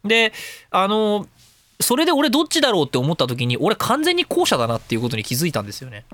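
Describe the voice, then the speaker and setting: A man hosting his own talk radio show, rambling in a casual, self-mocking way with rough speech. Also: sits high, wildly swooping pitch, fast talker, fairly bright tone, no echo, clean studio sound. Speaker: radio show host and voice creator.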